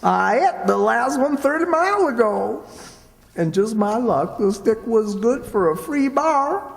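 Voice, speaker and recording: An actor playing a soft-hearted, gullible rustic sidekick with a plaintive manner and a rural Southern American accent in a radio comedy. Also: male voice, very high voice, lively intonation, measured pace, creaky, full character voice. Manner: plaintive, folksy. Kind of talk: old-time radio comedy acting. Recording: reverberant hall stage recording.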